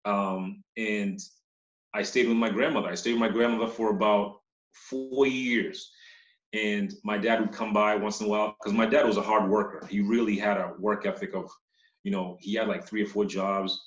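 A man from Uganda who moved to the US as a child, talking casually as an interview guest, halting and repeating words. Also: lowish voice, fairly even intonation. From Uganda, moved to Massachusetts as a child. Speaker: interview guest, company CEO. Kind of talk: casual conversational storytelling. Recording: echoey room, dull muffled sound.

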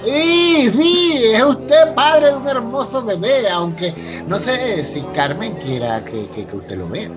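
A Venezuelan actor playing a polite, earnest professor in a radio soap opera, explaining things with hesitant stammers. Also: male voice, very high voice, big pitch swings, takes it slowly, hardly any pauses, music in the background, full character voice. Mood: eager, nervous. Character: polite, earnest university professor and new son-in-law.

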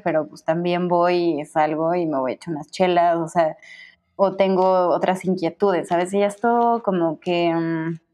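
A woman's voice: on the low side, a little mellow. A young woman with a Mexican accent, a guest chatting casually, rambling with many fillers and restarts. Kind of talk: casual conversation.

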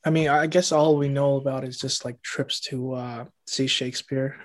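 A man's voice: medium pitch, fairly even intonation, moderate pace. A man hosting an interview, asking questions in an easygoing way with small fillers. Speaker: interview host. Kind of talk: casual interview.

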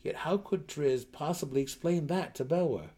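A man's voice: a little high, normal speed, dark tone. A man reading fantasy fiction aloud as a narrator, in a dramatic storytelling style. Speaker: audiobook narrator.

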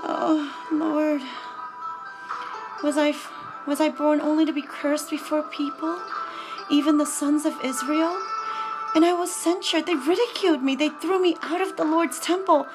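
A woman voicing a grieving childless wife lamenting in prayer, slow and hushed, with music behind. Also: high voice, fairly even intonation, voice pushed up.

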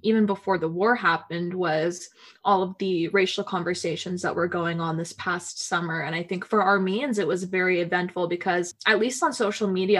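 A young woman hosting a podcast, speaking in a thoughtful, reflective way with fillers. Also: fairly low pitch. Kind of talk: casual conversation.

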